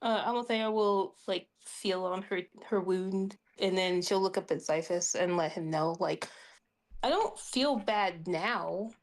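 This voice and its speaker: A woman's voice: on the low side, steady tone, unhurried, few pauses. A woman playing a tabletop roleplaying game, casually narrating her character's actions in a tentative, giggly manner.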